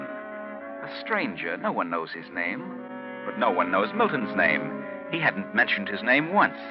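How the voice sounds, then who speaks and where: A deep-voiced storyteller narrates a 1940s radio crime drama. He is grave and deliberate, with dramatic swings in pitch. Music plays behind him on a muffled old recording. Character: grave, suspenseful male storyteller of a crime tale.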